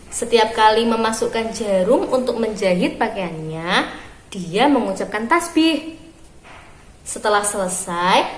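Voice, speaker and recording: A woman reading a story aloud for young children, phrasing expressively and deliberately with pauses. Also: mid-range voice. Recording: roomy echo with clear background noise.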